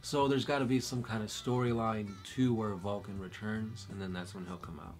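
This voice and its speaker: A man's voice: on the low side, steady tone, normal speed. A man co-hosting a casual chat and reading viewer questions, easygoing and thinking aloud with uhs.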